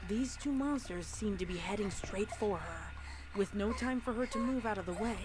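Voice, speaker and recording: A woman's voice: medium pitch, moderate pace. A woman reading fan fiction aloud as a storyteller, with casual reaction asides. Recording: faint background noise.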